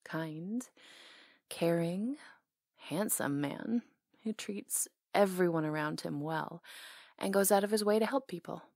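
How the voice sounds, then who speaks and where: A woman acting out a flirty, tender small-town salon owner in an ASMR romance. Her voice is soft and breathy with a lot of fry, recorded very close and dry. She teases and hesitates as she talks intimately to someone she adores. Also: lowish voice, dry recording. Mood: warm, admiring. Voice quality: soft, breathy, sometimes whispery. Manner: teasing, hesitant pauses, intimate.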